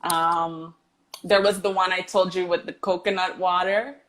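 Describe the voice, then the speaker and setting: Teacher lady chatting on a video call, slow and easygoing, laughs a lot. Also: fairly low pitch. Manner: relaxed, laughs while telling stories. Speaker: English teacher, guest. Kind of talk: casual online chat.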